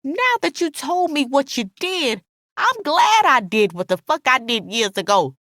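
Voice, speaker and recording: A woman's voice: sits high, very animated, a bit bright, no echo, clean studio sound, pitched above the performer's own voice, full character voice. A woman voicing an enraged, betrayed, foul-mouthed woman in a dramatic audiobook, taunting and indignant, leaning hard on her words. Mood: gloating, spiteful. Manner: taunting, indignant, drawn-out emphatic delivery. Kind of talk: dramatic audiobook character dialogue.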